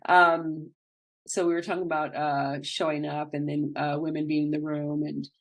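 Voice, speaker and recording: A woman hosting a podcast in a casual, natural conversation, speaking haltingly as she searches for words. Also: low-pitched, little pitch movement, low-quality recording. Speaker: podcast host.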